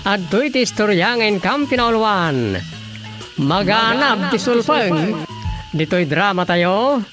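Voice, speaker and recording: Animated Filipino radio drama announcer, male. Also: high voice, slow, music in the background. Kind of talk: dramatic scripted radio programme intro.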